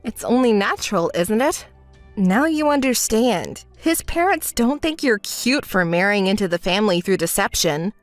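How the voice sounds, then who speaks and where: A woman voicing a calm, fed-up young woman who answers in a level, dry, scolding way in a dramatized story. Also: mid-range voice, moderate pace, no echo, soft background music, pitched below the performer's own voice, lightly acted.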